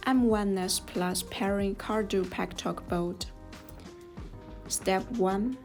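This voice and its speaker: A woman reading scripted step-by-step tutorial narration in a measured, instructional manner. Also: mid-range voice, darkish tone. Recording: voice over clear background music. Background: music.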